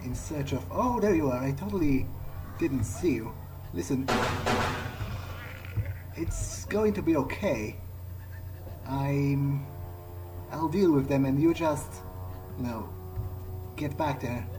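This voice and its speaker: A man giving in-character video game commentary, thinking aloud in a halting, musing way. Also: mid-range voice, low-quality recording. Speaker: gamer doing an in-character playthrough. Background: game music.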